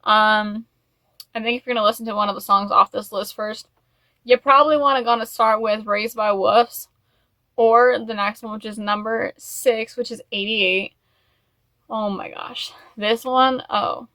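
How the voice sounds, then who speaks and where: A woman's voice: fairly high pitch, steady tone, measured pace, bright, forceful tone, no room sound. A woman hosting a casual video, chatting to the camera in a rambling, gushing fan style full of "like" and "you know". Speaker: YouTube host. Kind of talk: casual chat to camera, music album review.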